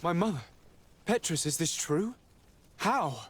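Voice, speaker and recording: An actor playing an earnest young noble envoy in a video game, speaking directly and with formal wording. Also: male voice, fairly high pitch, animated, unhurried, breathy edge. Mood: shocked. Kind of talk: video game cutscene acting.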